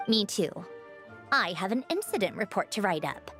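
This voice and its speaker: A woman's voice: mid-range voice, animated, normal speed, fairly bright tone, faint music behind, lightly acted. An actress playing a frank, businesslike young woman officer in a video game, speaking in a matter-of-fact way.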